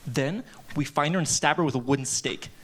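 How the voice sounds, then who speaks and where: A man's voice: a little high, brisk, lightly acted. A man reading the part of a bossy teenage boy who leads his friends like a drill sergeant, at a live table read. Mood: commanding. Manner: commanding, drill-sergeant briefing. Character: bossy self-appointed teen boy leader. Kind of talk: live table read of a horror comedy script.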